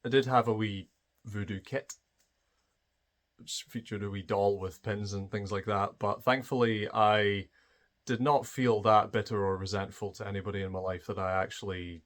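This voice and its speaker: A man's voice: lowish voice, fairly even intonation, normal speed, a bit bright. A man with a Scottish accent tells a personal story as a channel host, speaking in a thoughtful, hesitant way with frequent fillers and restarts. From Scotland.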